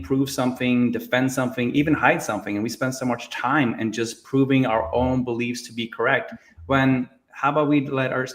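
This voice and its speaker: Man from Norway, average register, earnest explainer, informal talk-show guest delivery. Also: medium pitch. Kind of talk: casual panel discussion.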